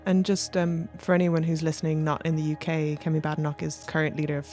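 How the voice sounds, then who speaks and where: A woman's voice: low voice, flat delivery, fast, a little mellow, faint music behind. A woman interviewing someone in a conversational, tentative and exploratory way.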